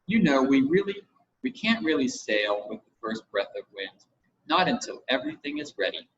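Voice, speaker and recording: Man reading as a crisp, practical young girl. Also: mid-range voice, very animated, normal speed, fairly bright tone. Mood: smart, practical. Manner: crisp, businesslike. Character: smart, practical girl, first mate of the crew. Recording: muffled home recording with hiss.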